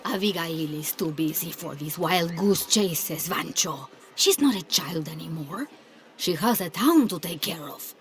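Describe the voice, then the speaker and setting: An actress playing a reserved, protective and sceptical village woman in a video game, with a husky voice. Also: fairly low pitch, lively intonation, a little slow. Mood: disapproving. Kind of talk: video game cutscene acting.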